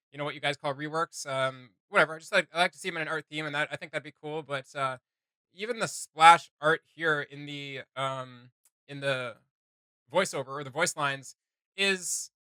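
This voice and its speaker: A man's voice: fairly high pitch, bright, forceful tone, smooth, dry recording. A man hosting a reaction video with casual, off-the-cuff commentary, blunt and sarcastic as he rambles.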